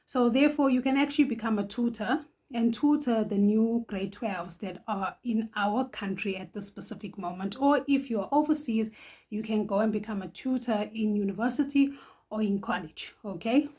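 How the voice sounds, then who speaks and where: Husky South African woman vlogging. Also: a little high, moderate pace, warm tone. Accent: South African, Johannesburg. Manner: chatty, laughs often, tags lines with "okay?". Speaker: channel host. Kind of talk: casual vlog advice.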